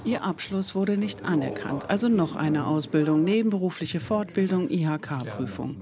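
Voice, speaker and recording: A woman's voice: on the low side, expressive, moderate pace, a crowd in the background. A woman reading scripted narration for a news report. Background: music.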